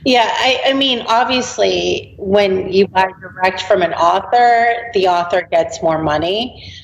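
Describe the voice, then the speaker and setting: A woman in her late forties speaks as a podcast guest, chatty and quick to laugh. Her voice carries a gravelly fry at a middle pitch. The audio is roomy and a bit muffled, with a faint hiss underneath. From Boston. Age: 40s. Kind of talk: casual interview chat. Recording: roomy, dull remote audio with faint noise.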